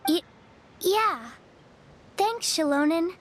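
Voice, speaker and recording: A woman's voice: extremely high pitch, animated, slow, a little hiss or hum, exaggerated voice. A woman voicing a small, timid, pleading young girl with childlike innocence in a video game. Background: background music.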